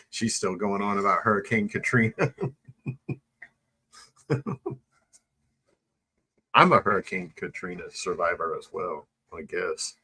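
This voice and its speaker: Husky deep-voiced man joking dryly. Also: slow, pauses often, bright, forceful tone, low-quality recording. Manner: dry joking, frequent laughs and "mm-hmm". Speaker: co-host reacting to a video. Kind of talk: casual reaction chat.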